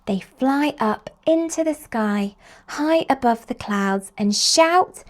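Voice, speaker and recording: Female children's storybook reader, higher voice, unhurried and very expressive. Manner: expressive, slow storytelling with drawn-out exclamations. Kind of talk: animated read-aloud of picture books for young children.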